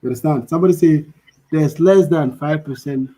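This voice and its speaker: A slightly high, husky-voiced man chatting casually as a livestream host. He talks in a relaxed, easygoing way, often repeating himself and checking that the listener follows. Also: fairly quick. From Africa.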